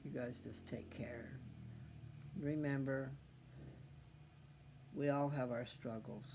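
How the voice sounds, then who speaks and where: Male, gravelly mid-pitched voice, folksy rambling chat, faint noise behind. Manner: folksy, meandering, thinking aloud. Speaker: host of a scripture reading channel. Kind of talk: rambling casual chat to viewers.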